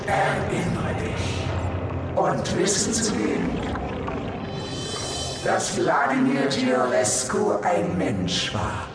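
An actress playing a monstrous, imperious ancient snake goddess in a German horror radio play, declaiming theatrically. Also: female voice, a bass voice, very animated, takes it slowly, pauses often, full character voice. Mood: imploring, commanding. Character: monstrous snake-bodied goddess, ancient, commanding, doom-preaching. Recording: heavy echo, distant, loud music behind.

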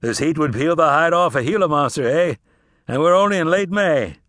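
A man's voice: a little high, lively intonation, a little fast, fairly bright tone, dry recording, clean studio sound, voice pushed up. A male narrator voicing a suave, talkative middle-aged traveling salesman. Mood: genial. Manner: smooth, chatty.

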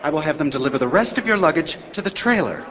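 A prim, officious hotel manager acted by a man with a high, swooping voice. He is formal and easily flustered, performing stage-style audio drama in a reverberant hall. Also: expressive, quick pace, close to an everyday voice. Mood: alarmed, officious. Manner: prim, formal, stammering under pressure. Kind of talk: theatrical audio drama acting. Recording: dull, reverberant stage recording.

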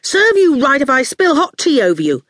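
A grumpy big schoolboy, read by a woman in a storybook, pitched somewhat high. The boy snaps indignantly, straining to sound fierce. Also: wildly swooping pitch, normal speed, a bit bright, dry recording, studio quality, heavily acted. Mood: angry. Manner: snappish, indignant.

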